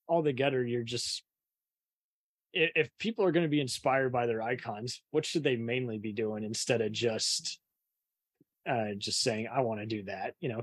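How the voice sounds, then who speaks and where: A man's voice: medium pitch, dry recording. A man hosting a casual interview, talking informally with rambling questions. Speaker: interviewer, host.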